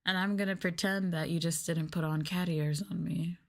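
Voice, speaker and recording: A woman's voice: fairly low pitch, steady tone, moderate pace, creaky. A woman livestreaming an ASMR roleplay, talking down to her viewers in a teasing, sultry, condescending way. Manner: teasing, condescending, mock-sadistic dominance.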